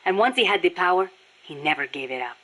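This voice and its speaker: A woman's voice: on the low side, animated, fast, a bit bright. A woman voicing a passionate, embittered Nicaraguan Sandinista guerrilla with a Spanish accent in a video game, speaking in a solemn, earnest way. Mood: grave. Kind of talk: scripted video game voice acting.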